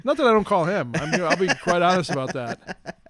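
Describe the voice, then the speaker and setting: A man's voice: sits high, lively intonation, fast talker, fairly bright tone, no echo. A man co-hosting a podcast, chatting casually with jokey, teasing banter. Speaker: podcast co-host. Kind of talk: casual chat.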